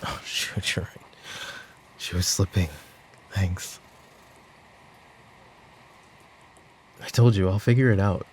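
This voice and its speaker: A man playing a tired, guilt-ridden mafia boss and worried father in a roleplay ASMR audio drama, brooding, with sighs and pauses. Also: low-pitched, a little mellow, slight background noise. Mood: resigned, softening.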